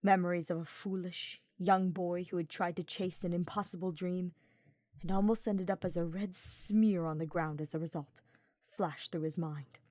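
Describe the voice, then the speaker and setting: A woman's voice: lowish voice, fairly even intonation, normal speed, warm tone, no room sound. Woman narrating fiction, very dry sound. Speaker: audiobook narrator.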